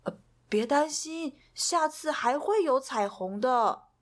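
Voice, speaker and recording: A woman voicing a calm, practical storybook friend who comforts in a matter-of-fact way. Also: high-pitched, slow pace, voice pushed up.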